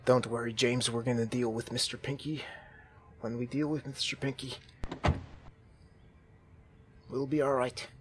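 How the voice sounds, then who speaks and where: A man roleplaying a kindly, babbling zombie apocalypse survivor in an online game, speaking haltingly and earnestly. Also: medium pitch, a little hiss or hum, close to an everyday voice. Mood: reassuring. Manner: halting, earnest, folksy, repeats himself.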